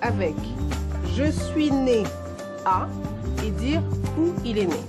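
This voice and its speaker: A slow, patient class teacher. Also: female voice, fairly high pitch, animated. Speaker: language teacher. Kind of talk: film dialogue. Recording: film soundtrack with loud music underneath.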